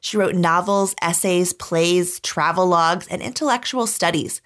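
A woman hosting a podcast, reading scripted narration in a measured, articulate storytelling manner. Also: on the low side. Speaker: podcast host. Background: background music.

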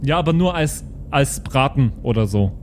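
Naive son, played by a man: mid-pitched male voice, childlike simple wording, squeamish, improvised audio play, noisy recording. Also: lively intonation, normal speed, darkish tone, lightly acted. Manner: simple, childlike, plain-spoken. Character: naive, squeamish son of the bounty-hunter crew. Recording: clearly noisy background.

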